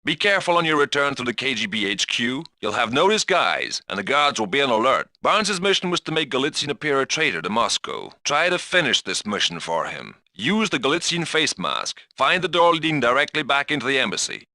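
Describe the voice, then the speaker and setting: A man's voice: medium pitch, sing-song, fairly quick, few pauses, pushed and bright, no room sound, studio quality, exaggerated voice. A man playing an authoritative spy mission controller who gives urgent, instructive briefings in a video game.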